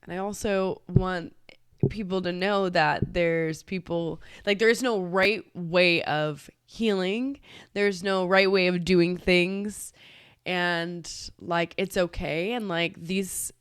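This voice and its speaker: A woman hosts a confessional podcast from her room at home, her voice nasal and stuffed up from a cold. She pitches her voice fairly low, keeps it smooth and clear, and the sound is dry and close. She talks hesitantly and nervously, with lots of fillers and restarts. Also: steady tone, takes it slowly, dry recording. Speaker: podcast host. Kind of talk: casual confessional podcast talk.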